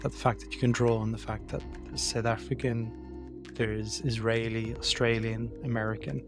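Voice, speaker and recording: A man's voice: mid-range voice, flat delivery, moderate pace, music in the background. A man hosting a design podcast, reading an ad and welcoming his guest, with short encouraging replies. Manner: friendly, encouraging with short backchannels. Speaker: podcast host. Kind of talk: podcast interview.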